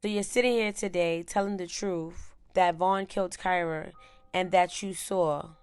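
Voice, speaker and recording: A woman's voice: lowish voice, fairly even intonation, measured pace, smooth, faint music behind. A woman questioning a witness in court as a prosecutor, in a formal and methodical way.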